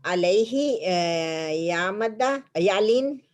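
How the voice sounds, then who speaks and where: A woman's voice: mid-range voice, expressive. A woman student hesitantly answering her teacher's questions on recitation rules. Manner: hesitant, with many pauses and fillers.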